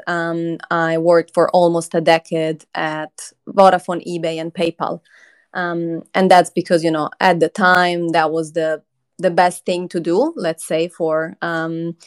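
Woman, thirties, from Croatia, measured and earnest, steady low tone, clear smooth voice. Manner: earnest, measured, frequent ums. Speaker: crypto company CEO, guest. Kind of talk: conversational interview.

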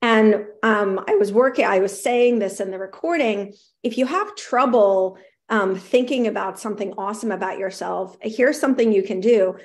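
Middle-aged woman host, a touch raspy, warm encouragement delivered upbeat, mid-range voice. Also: mid-range voice, normal speed. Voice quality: slightly raspy. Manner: upbeat, encouraging, reads chat aloud. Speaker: host of a live show. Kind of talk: live-streamed talk to viewers.

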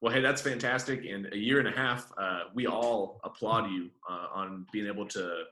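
A man hosts a relaxed interview and heaps praise on his guest. His voice is fairly low but sharp and forceful, and he leans on lots of fillers. The room adds a clear echo. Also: normal speed. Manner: affable, praising, filler-heavy. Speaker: host. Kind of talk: casual interview chat.

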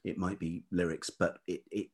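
Very low-voiced, slightly husky man. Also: level tone, darkish tone. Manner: thoughtful, halting, searching for words. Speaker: interview guest, writer and musician. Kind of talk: reflective conversational interview talk.

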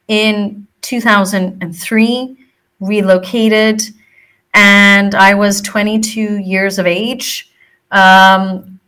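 Bright-voiced woman with mixed American-British accent. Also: medium pitch. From Hong Kong. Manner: relaxed, fillers like um between phrases. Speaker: podcast host. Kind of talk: scripted podcast intro then casual storytelling.

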